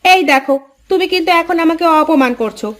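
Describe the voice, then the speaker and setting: Woman playing a high-pitched, vain cartoon wife. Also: lively intonation, a little fast, fairly bright tone. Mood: indignant. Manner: coquettish, indignant. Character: vain, quarrelsome village wife, easily offended. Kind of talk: cartoon dialogue acting.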